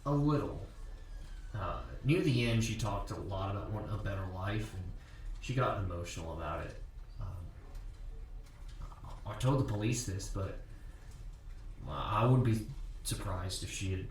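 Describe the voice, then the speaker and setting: A man's voice: on the low side, slight background noise, lightly acted. Echoey, distant actor as a young college guy. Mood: hesitant, guarded. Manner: hesitant, halting, casual. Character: guarded, hurt 24-year-old college athlete, missing girl's ex-boyfriend. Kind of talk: audio drama acting as a recorded interview.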